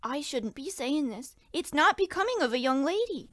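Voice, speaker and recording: A man's voice: very high voice, very animated, moderate pace, a bit bright, full character voice. A man voicing a demure young woman client, coy and primly gossipy, in a parody of 1940s radio detective drama. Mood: coy, confiding.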